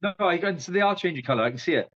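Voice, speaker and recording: A man's voice: sits high, expressive, a bit bright. A British band member making casual, friendly small talk on a video call, often restarting his sentences. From Britain. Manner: chatty, friendly, halting restarts. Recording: dull lo-fi call audio.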